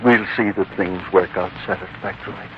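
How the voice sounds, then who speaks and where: A man acting a kindly, humble old scholar in a 1940s radio drama, speaking in a halting, courteous, avuncular way. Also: deep voice, steady tone, lightly acted. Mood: thoughtful, reassuring. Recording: muffled, noisy 1940s radio recording.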